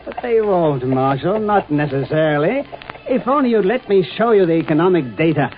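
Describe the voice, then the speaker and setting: An actor playing an earnest, idealistic professor driven to desperation in a 1950s radio drama, arguing intensely. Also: male voice, on the high side, expressive, normal speed, lightly acted. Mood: earnest, pleading. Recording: muffled old radio recording.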